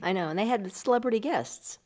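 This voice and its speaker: A woman's voice: medium pitch, animated, fairly quick, a little mellow. A middle-aged or older American woman co-hosting a nostalgic chat show in an informal, conversational way. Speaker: podcast co-host.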